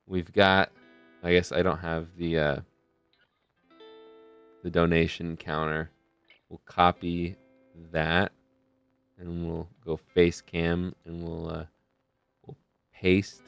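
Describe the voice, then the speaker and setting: A man's voice: sits low, level tone, slow, creaky, pauses often, a bit bright, clean tone, no room sound, faint music behind. A young man hosting a charity live stream in a casual, rambling way, often losing his train of thought. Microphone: desk mic close to a face camera.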